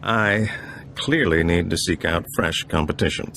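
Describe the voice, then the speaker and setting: An actor playing a suave, calm information broker in a video game, speaking in a measured, wry and courteous way. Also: male voice, deep voice, creaky, background noise. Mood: playful, urbane.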